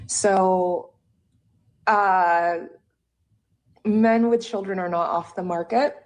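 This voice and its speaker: A woman's voice: medium pitch, steady tone, very slow, a little mellow. A woman in her thirties from the Bay Area, asking for relationship advice in a hesitant way, full of ums and likes. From San Francisco Bay Area. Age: 30s. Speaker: caller seeking advice.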